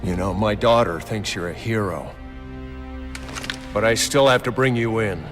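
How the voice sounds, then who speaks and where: An actor playing a weary, earnest middle-aged police captain, grave and sincere, in a video game drama. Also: male voice, on the low side, measured pace, lightly acted. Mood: firm. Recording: music behind.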